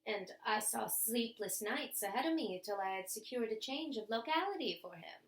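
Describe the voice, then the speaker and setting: In a roomy, echoing space, a woman reads old love letters aloud as a bedtime story. Her voice is fairly high, and her delivery is theatrical and expressive, with deliberate pauses. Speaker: reader of bedtime stories.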